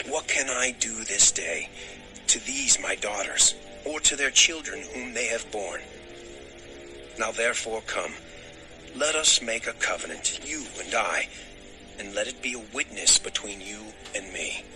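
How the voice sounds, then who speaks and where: Solemn, possessive patriarch Laban, music behind. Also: male voice, fairly low pitch, animated, unhurried. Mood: possessive, insistent. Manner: solemn, emphatic declaration. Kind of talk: dramatized audio scripture acting.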